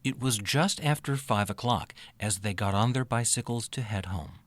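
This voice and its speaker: A man's voice: fairly low pitch, normal speed, dry recording. A man narrating a scripted children's story.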